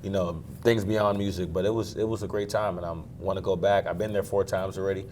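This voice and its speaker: Low-voiced young guy chatting easy in an interview, laughs a lot, faint low hum in the back. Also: quick pace. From Maryland, USA. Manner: relaxed, laughs easily. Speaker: guest singer. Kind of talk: casual interview talk.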